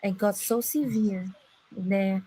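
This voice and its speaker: A woman's voice: mid-range voice, fairly even intonation, slow pace, dark tone, a little hiss or hum, low-quality recording. A woman from Brazil with a strong Brazilian Portuguese accent, a guest telling a personal story on a live stream who often stops and restarts while she searches for words.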